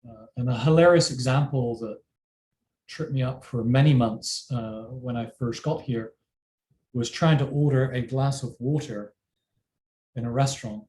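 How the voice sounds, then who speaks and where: A middle-aged man with an English accent, a parent answering interview questions in a thoughtful, measured way. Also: medium pitch, a little mellow. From England. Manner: thoughtful, measured, self-deprecating. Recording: roomy with faint ambient noise.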